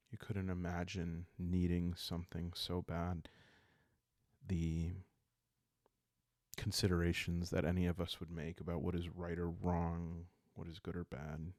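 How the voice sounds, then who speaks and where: A man narrating a solo roleplaying story in a calm, hushed ASMR style, halting with deliberate pauses, close to the microphone. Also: low-pitched, gravelly, no echo. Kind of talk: calm ASMR narration of a dark journaling game.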